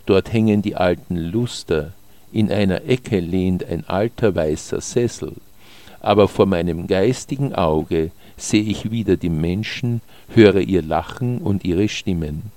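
Male narrator for scripted short prose; low register, unhurried and measured delivery, dry close sound. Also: warm tone, no room sound. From Austria. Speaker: author reading own short texts.

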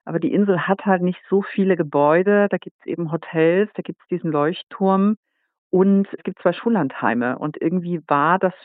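Man on a tinny line, slightly high voice. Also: normal speed, no room sound. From Saxony, Germany. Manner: thoughtful, explains at length. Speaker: author. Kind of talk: interview guest.

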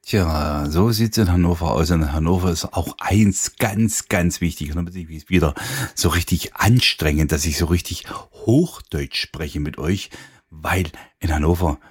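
A man's voice: low voice, unhurried, husky, no room sound, clean studio sound. A man in his fifties with a Saxon German accent, chatting loosely and jokingly as a Sunday morning radio DJ. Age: 50s. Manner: chatty, rambling, jokey. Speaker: radio DJ host. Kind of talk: casual radio show chat.

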